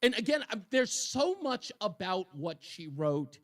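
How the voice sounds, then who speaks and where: Talk radio host, man, notably high voice, conversational live interview delivery. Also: big pitch swings, a little slow.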